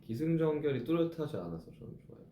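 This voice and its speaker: A man's voice: on the high side, sing-song, fast talker, dark tone, roomy sound, slight background noise. A man in his thirties, a musician chatting casually with fans on a live stream, reflectively explaining how he writes his songs. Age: 30s.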